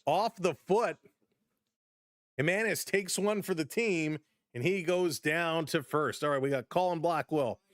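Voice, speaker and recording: A man hosting a casual live-stream baseball play-by-play, chatty and upbeat as he greets viewers, his voice slightly hoarse from overuse. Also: fairly high pitch, unhurried, no echo, studio quality. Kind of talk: casual play-by-play with chat reactions.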